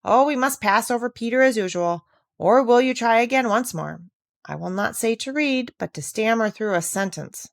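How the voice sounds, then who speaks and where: A woman's voice: a little high, animated, moderate pace, gravelly, no room sound, pitched above the performer's own voice. A woman acts out a schoolmaster from a children's storybook. He is long-suffering and incredulous, with formal, pompous, wordy speech, recorded close and dry. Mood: weary. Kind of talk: children's storybook read aloud.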